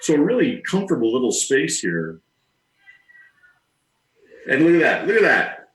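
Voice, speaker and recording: A man's voice: mid-range voice, huge pitch swings, moderate pace, fairly bright tone. A man touring a showroom on camera, talking casually. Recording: echoey room.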